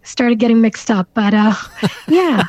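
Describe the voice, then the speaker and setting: Young woman guest, urdu her first language, kinda high warm voice, lots of ums, muffled lo-fi sound. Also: animated, unhurried, dry recording. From Canada. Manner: thoughtful, many fillers, soft laughs. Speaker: guest author. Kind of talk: casual interview answers.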